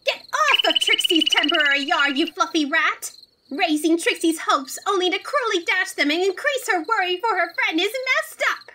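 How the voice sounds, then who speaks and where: A woman's voice: extremely high pitch, animated, normal speed, background noise. A woman voicing a vain, theatrical young female unicorn magician in a cartoon dub, haughty and melodramatic. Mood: indignant. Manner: haughty, melodramatic, speaks of self in third person. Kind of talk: cartoon comic dub acting.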